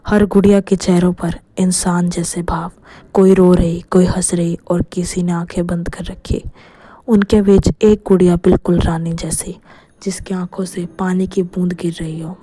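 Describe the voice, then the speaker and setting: Female storyteller for scripted horror tales, dark, soft-edged tone. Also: on the low side. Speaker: narrator.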